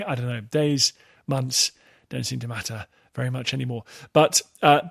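A man's voice: on the high side, fairly bright tone, dry recording, studio quality. A man hosting a history podcast, introducing a guest in a chatty way with jokey asides. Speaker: podcast host.

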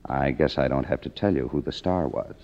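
A man's voice: extremely low pitch, brisk, a little mellow. An actor playing a world-weary, cynical man, wry and hardboiled, in a 1950s radio drama. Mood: wry, sardonic. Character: cynical, world-weary kept man narrating his story. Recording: muffled old radio recording, music behind.

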